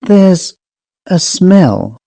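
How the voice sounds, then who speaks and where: A man voicing a polite, brisk lady of sixty, an antiques dealer, in a storybook reading. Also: high voice, big pitch swings, gravelly, dark tone, dry recording, voice pushed up, heavily acted. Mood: hurried, uneasy.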